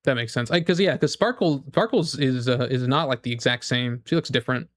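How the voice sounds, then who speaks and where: A man's voice: mid-range voice, brisk, no echo, studio quality. A man chatting casually as a gaming streamer, rambling enthusiastically and thinking aloud with false starts. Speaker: streamer reacting and chatting with viewers.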